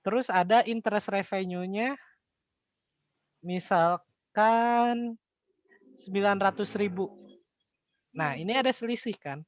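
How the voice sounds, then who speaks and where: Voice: mid-range voice, slow, long pauses, no room sound. An Indonesian-accented tutor talks in a casual, colloquial way, walking through material step by step. Rhetorical questions break up the explanation. The sound is dry and slightly dull, with very little room in it. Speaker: accounting tutor.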